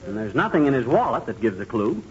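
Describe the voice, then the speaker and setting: A man's voice: medium pitch, big pitch swings, fast, close to an everyday voice. An American man playing a folksy, blustering middle-aged husband in a 1940s radio comedy, with slangy grammar. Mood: chatty. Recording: muffled, hissy 1940s radio recording.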